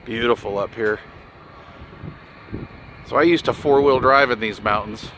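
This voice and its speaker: A man's voice: mid-range voice, measured pace, a bit bright. Middle-aged man, noisy windy outdoor recording. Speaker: CB radio hobbyist and vlogger. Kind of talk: casual off-the-cuff outdoor vlog and radio chat. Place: outdoors on a hillside road by his vehicle. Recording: windy outdoor noise with vehicle sounds.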